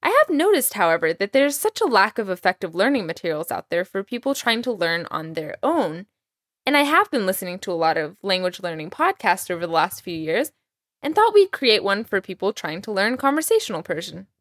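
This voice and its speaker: A young woman raised in Texas, speaking with a Texan American accent, hosting a podcast language lesson with clear, careful pronunciation. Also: mid-range voice, big pitch swings, fairly quick, fairly bright tone, no echo, clean studio sound. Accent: American English, Texas. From Iran. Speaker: language teacher, podcast host.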